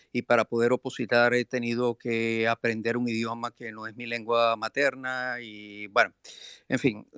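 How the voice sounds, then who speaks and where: A man with a returned emigrant's accent, speaking deliberately and filling his pauses with hesitations. His voice comes across smooth and resonant, with a dry, close sound. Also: medium pitch, no room sound. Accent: non-Galician, from a returned emigrant. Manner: measured, deliberate, with many filler pauses. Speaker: panelist. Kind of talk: online panel discussion. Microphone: video call.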